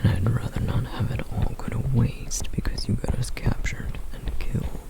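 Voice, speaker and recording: A man voicing a protective, duty-bound male flame demon in a whispered ASMR roleplay, hushed, measured and dryly sardonic. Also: mid-range voice, fairly even intonation, natural voice. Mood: guarded.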